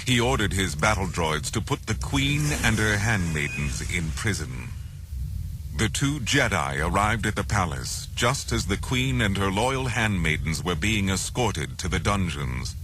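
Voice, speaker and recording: A man reading a scripted children's adventure story as narrator, in a dramatic, measured way. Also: low-pitched, expressive, noisy background.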